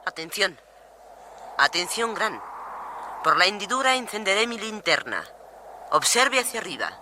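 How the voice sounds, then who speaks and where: A woman's voice: medium pitch, sing-song, normal speed, noisy background, natural voice. An actress playing an urgent female scientist calling out instructions in a suspense radio drama.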